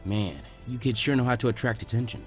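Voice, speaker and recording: A man voicing a calm, smug older teenage ninja who talks smoothly and condescendingly in a story reading. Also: medium pitch, fast talker, soft background music, pitched below the performer's own voice, lightly acted. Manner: smooth-talking, placating, condescending.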